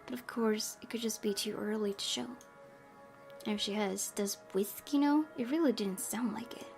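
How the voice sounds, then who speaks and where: A woman reads story narration from a visual novel as a gaming host, dropping in her own asides. Her voice is medium in pitch, and guitar music plays clearly behind her. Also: normal speed, a little mellow. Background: guitar game music.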